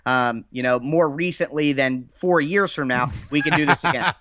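Slightly nasal man, bright tone. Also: a little high, normal speed. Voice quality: a little nasal. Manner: earnest, detailed football analysis. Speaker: podcast guest, team podcast host. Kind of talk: casual football podcast chat. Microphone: remote call.

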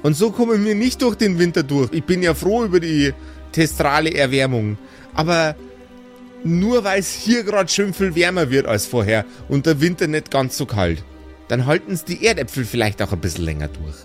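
A man acts out a worn-out mother of grown sons in a role-playing audio drama. The voice sits a little high and has a southern German or Austrian accent. The mother pleads and nags, exasperated, with faint music behind. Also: sing-song, moderate pace. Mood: coaxing. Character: weary nagging mother pushing her grown sons to work.

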